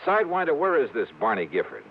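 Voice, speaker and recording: Hardboiled-era investigator, medium pitch, creaky edge, lively inflection, wry, tinny vintage radio sound. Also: male voice, fast. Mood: wry, businesslike. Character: wry, easygoing male insurance investigator, romantic lead. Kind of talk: 1950s radio detective acting. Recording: tinny, noisy old radio recording.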